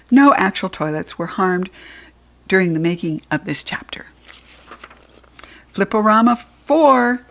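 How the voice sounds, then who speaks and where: American woman reading a children's storybook. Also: medium pitch. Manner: playful, informal. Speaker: read-aloud narrator for children. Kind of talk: expressive children's storybook reading with playful asides.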